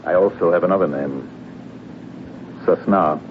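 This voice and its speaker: An actor playing a calm foreign secret agent who speaks formally and measuredly, uneasy underneath, in a 1970s radio drama. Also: male voice, deep voice. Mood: polite, composed. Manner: measured, enigmatic, formal phrasing. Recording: muffled phone-like old radio recording, hiss.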